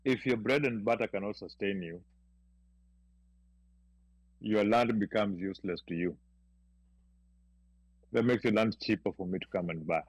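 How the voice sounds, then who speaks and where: A man with a lowish, husky voice lays out his argument firmly on a radio panel. He talks steadily to win the others over. The recording is dry. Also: normal speed, long pauses, no room sound. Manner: explains firmly, persuasive. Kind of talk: casual talk radio discussion.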